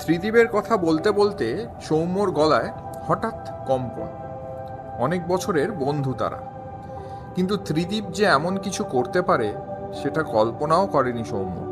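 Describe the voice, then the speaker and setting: A man's voice: on the high side, animated, measured pace. A man narrating a crime thriller audio drama in a dramatic, suspenseful style. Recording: music bed under the voice.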